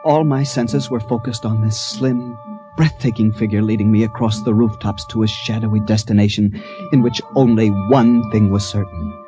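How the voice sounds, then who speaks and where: An actor with an American accent playing an infatuated adventurer in an old-time radio drama, earnest and halting. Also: male voice, lowish voice, fairly even intonation, music in the background, lightly acted. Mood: dreamy, haunted. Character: infatuated ship's captain turned brawler, recalling his wild adventure. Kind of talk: old-time radio drama acting with first-person narration.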